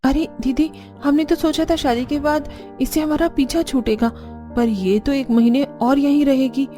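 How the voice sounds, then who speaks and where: A young, put-upon daughter-in-law from a family cartoon, voiced by a woman, high in pitch and full of schemes. She complains like a conspirator over faint music. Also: fairly even intonation, moderate pace, dark tone, lightly acted. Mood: scheming.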